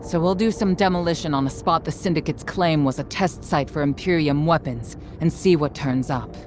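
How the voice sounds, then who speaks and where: A dutiful military woman from a video game, played by an actress. Her voice is low, matter-of-fact and dryly wry. There is music behind her. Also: moderate pace, lightly acted. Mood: businesslike. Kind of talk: video game cutscene acting.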